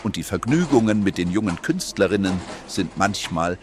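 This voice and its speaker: An actor playing a smug, greedy music publishing boss in a theatrical, self-important way for a comedy radio play. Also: male voice, sits low, expressive, moderate pace. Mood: smug, sighing.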